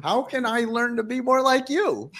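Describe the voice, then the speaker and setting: A man's voice: very high voice, normal speed, sharp tone. A man co-hosting a podcast, questioning his guest in a playful way. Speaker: podcast co-host. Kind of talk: casual interview chat.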